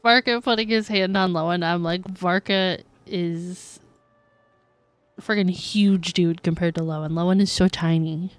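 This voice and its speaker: A woman's voice: lowish voice, fairly even intonation, unhurried, clean tone, no room sound. A woman streaming a video game, reacting casually to the story and chat with giggly exclamations.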